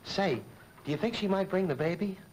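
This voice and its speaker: An actor playing a jittery, affable young American sergeant in a 1940s film, talking colloquially with an American accent. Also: male voice, sits high, a little fast, a little mellow, lightly acted. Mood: nervous, eager. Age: young adult. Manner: colloquial, boyish. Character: jittery, affable wounded sergeant, new father. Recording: slightly noisy old film soundtrack.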